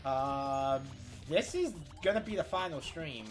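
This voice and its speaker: Young man streaming, loud game music behind. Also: fairly high pitch, lively intonation, measured pace. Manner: rambling, swearing, self-correcting. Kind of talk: casual live gameplay commentary.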